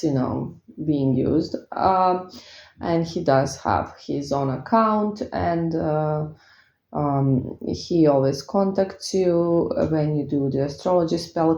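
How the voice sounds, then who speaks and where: A woman's voice: low-pitched, slow pace, dark tone. A woman from Croatia with a Croatian accent, a tarot reader chatting casually to her viewers, hesitant with many fillers. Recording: echoey room, dull lo-fi sound.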